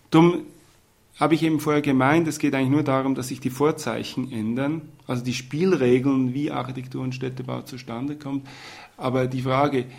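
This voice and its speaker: A man, an urban planning historian, explaining his views in a measured radio interview. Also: medium pitch, creaky, darkish tone.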